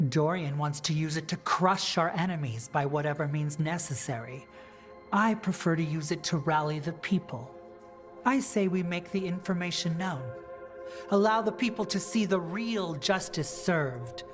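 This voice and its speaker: A woman playing a principled female politician and rebel leader in a fantasy video game, measured, firm and earnest. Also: deep voice, soft background music, lightly acted. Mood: resolute. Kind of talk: dramatic video game dialogue acting.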